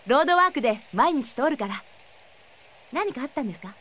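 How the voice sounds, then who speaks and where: A frank, sporty young woman in an audio drama. She talks casually and a little tomboyishly in a high voice. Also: lively intonation, a little fast, fairly bright tone, slight background noise. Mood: curious. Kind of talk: Japanese audio drama acting.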